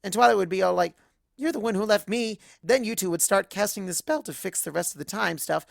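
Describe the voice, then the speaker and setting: A woman voicing an excitable young female cartoon pony, bubbly and breathless, rambling through a first-person story. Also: mid-range voice, a little fast, vocal fry, dry recording, studio quality. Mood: giddy.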